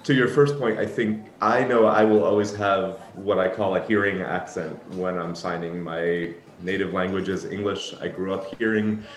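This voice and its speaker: A man's voice: sits low, steady tone, moderate pace, few pauses. A man who works as a sign language interpreter, answering interview questions in a thoughtful, reflective way with frequent restarts. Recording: echoey room.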